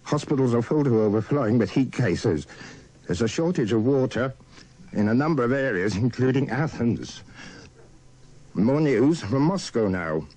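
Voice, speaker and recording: A man's voice: medium pitch, lively intonation, moderate pace, dark tone, no room sound, poor audio. A man reading a radio news bulletin in a formal style. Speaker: radio newsreader.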